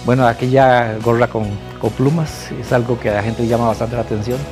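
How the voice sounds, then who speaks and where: A middle-aged Costa Rican man speaks informally in an interview. His pitch is average for a man, and music plays clearly behind him. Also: level tone, brisk. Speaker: restaurant staff interviewee. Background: background music.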